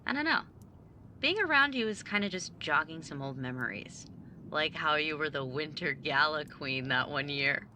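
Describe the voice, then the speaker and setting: A woman plays a bookish young mage, the overachieving sibling of an audio drama. The voice is low and bright, with lively swings into sarcastic exasperation. A faint rumble sits behind it. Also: moderate pace. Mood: guarded, wry. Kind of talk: naturalistic audio drama dialogue.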